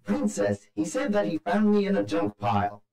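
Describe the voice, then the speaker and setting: A prim protocol droid in a sci-fi audio drama, forever fretful and proper. The voice swings wildly up and down and rings with roomy echo. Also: male voice, high voice, huge pitch swings, normal speed, warm tone. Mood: fretful, urgent. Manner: fussy, proper, alarmed. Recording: echoey, roomy voice track.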